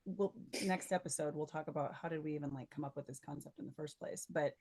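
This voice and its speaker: A woman co-hosting a podcast in a casual, joking, animated way. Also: low-pitched, fast talker, breathy edge, no echo. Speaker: podcast co-host, voice actor.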